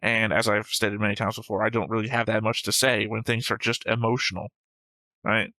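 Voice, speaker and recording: A man's voice: lowish voice, little pitch movement, fairly quick, pushed and bright, no echo. A man co-hosting a reaction show, talking casually and analytically through an anime episode in an explanatory way.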